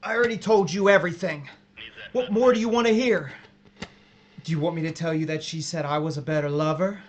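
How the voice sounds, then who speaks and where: A man's voice: on the high side, brisk, lo-fi sound, natural voice. An actor playing a guilty, tormented captive who pleads with his coworker in an audio drama, sounding strained and desperate. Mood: desperate, pained.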